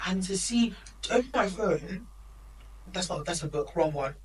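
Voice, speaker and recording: A woman's voice: fairly low pitch, animated, far from the mic, a little hiss or hum. A woman of Nigerian heritage, co-hosting a podcast in emphatic, opinionated casual chat. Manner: opinionated, emphatic, quick asides. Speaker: podcast co-host.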